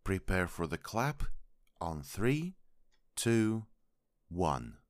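A man hosting a podcast, speaking formally and politely with a sore throat and many halting pauses. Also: low voice, big pitch swings. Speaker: podcast host. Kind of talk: podcast intro monologue.

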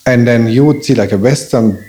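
A man who grew up in Belgium, a native German speaker, talking casually and telling stories in a halting way, with many restarts. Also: mid-range voice, slight background noise. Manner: halting, restarts often, animated storytelling. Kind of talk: casual conversation.

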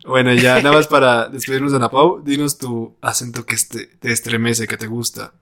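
A man's voice: mid-range voice, fairly even intonation, moderate pace, vocal fry, bright, forceful tone. A man with a Mexican accent hosting a casual podcast chat, slangy and laid-back, asking his guests questions. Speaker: podcast host.

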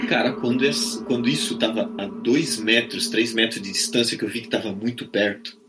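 A man's voice: lowish voice, steady tone, normal speed, low-quality recording. Brazilian man storytelling over prominent music. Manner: colloquial, acts out his own quoted reactions. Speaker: podcast guest. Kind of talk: animated casual personal storytelling. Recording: music playing behind the voice.

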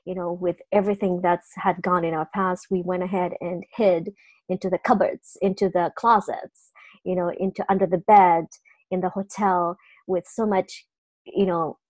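A middle-aged woman, English her second language, slowly recounting her arrival as a refugee, with pauses and restarts. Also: fairly low pitch, flat delivery, a little mellow, dry recording, low-quality recording. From a war-torn country, came as refugee. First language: not English. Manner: slow, halting, with restarts. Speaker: guest. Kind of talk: personal storytelling in conversation.

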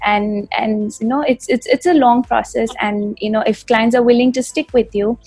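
A woman's voice: fairly high pitch, steady tone, moderate pace, darkish tone, dry recording, soft background music. Affirming woman host, dry close sound. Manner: affirming, rambling with restarts. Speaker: fitness podcast host. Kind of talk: conversational interview.

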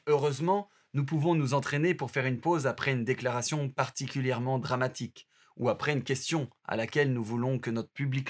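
A man reading an audio course aloud in a steady, instructive way. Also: medium pitch. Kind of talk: scripted narration.

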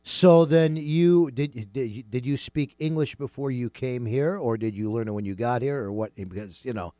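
A man's voice: medium pitch, normal speed, warm tone, dry recording, clean studio sound, faint music behind. A man interviewing guests in a casual chat, friendly and affirming, often stumbling over restarts.

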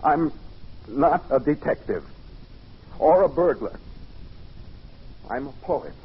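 An actor playing a sensitive, uneasy poet, narrating in a literary first-person style for an old-time radio drama. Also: male voice, fairly high pitch, lively intonation, takes it slowly, slightly rough, exaggerated voice. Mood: timid, pleading. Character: nervous, sensitive male poet recounting an eerie encounter. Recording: dull old radio recording.

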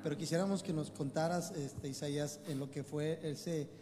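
Male host, somewhat high voice, casual questions, short interjections, echoey room, loud music. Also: steady tone, moderate pace.

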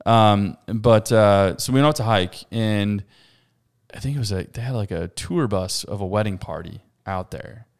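A loud man in his thirties, talking easily as a podcast guest. His low voice has a gravelly edge but stays smooth and full. He rambles warmly through his stories, full of fillers. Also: normal speed. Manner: loud, laughs often, rambling with many fillers. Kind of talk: casual chat.

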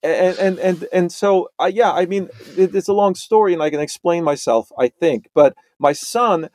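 A middle-aged man from Romania, a podcast guest, talking in a halting way with many restarts and repeated words. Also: high voice, a little mellow, dry recording. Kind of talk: conversational interview.

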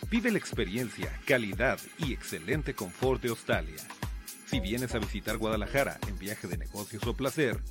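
A man reading a hotel commercial in a polished, promotional way. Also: lowish voice. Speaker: commercial announcer. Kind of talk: scripted ad read. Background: loud music bed.

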